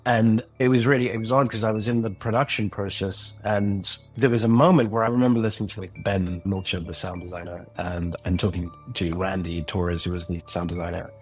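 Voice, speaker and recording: A man's voice: fairly low pitch, fairly even intonation, fairly quick, few pauses, breathy edge, faint music behind, poor audio. A man talking as a podcast guest about creating an audio drama, thoughtful and earnest. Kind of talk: reflective interview talk.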